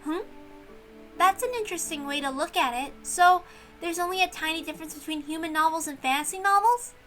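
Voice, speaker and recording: A woman's voice: extremely high pitch, fairly quick, pushed and bright, faint music behind. A woman voicing a bookish, thoughtful young mystery fan, earnest and musing, in a dramatic visual novel reading. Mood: curious, musing.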